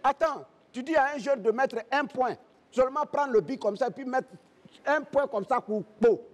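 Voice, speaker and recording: A man's voice: very high voice, moderate pace. A man with a West African French accent, a comedian playing an indignant parliamentarian who mocks the young with emphatic, repeated exclamations in stage banter. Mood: mock indignation. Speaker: comedian on a satirical parliament show. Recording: echoey hall, audience noise behind.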